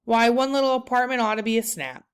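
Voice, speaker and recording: A woman's voice: on the high side, fast talker, clean tone, pitched above the performer's own voice, close to an everyday voice. A woman voicing a kindly, handy old grandfather in a storybook, with a folksy, coaxing, easygoing manner. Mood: persuasive.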